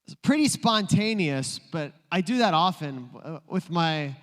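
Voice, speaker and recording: A man's voice: on the high side, expressive, unhurried. A male pastor preaching a sermon in a playful, joking storytelling way. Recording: long reverb in a large room.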